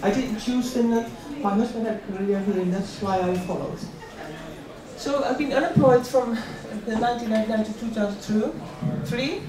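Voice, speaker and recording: A middle-aged woman from the Netherlands gives a personal career talk in a conversational way. She is picked up from a distance in a very echoey space, with clear crowd sound around her. She leans on repeated words to make her points. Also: on the high side, normal speed. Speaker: invited speaker at a career event. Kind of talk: personal motivational talk with slides. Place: large room at an employment event. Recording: echoey hall, distant mic, audience noise.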